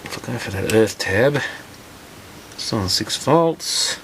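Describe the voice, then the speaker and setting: A man's voice: lowish voice, animated, takes it slowly, a bit bright. A man giving casual hands-on repair commentary, muttering and thinking aloud as he works. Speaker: electronics repair hobbyist presenting a teardown. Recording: clear background noise with clicks.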